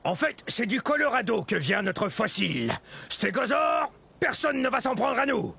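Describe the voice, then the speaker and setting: Gravelly stegosaurus coach barking orders. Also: male voice, very high voice, big pitch swings, normal speed, pushed and bright, full character voice. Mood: commanding, proud. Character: hearty male stegosaurus sports coach, commanding and proud. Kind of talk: animated educational cartoon for children. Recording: music under the voice.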